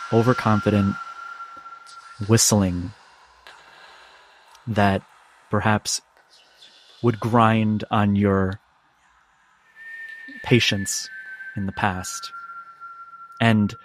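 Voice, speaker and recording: A man narrating a tabletop game as game master, deliberate and dramatic, leaving suspenseful pauses. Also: fairly low pitch, a little hiss or hum. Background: background music.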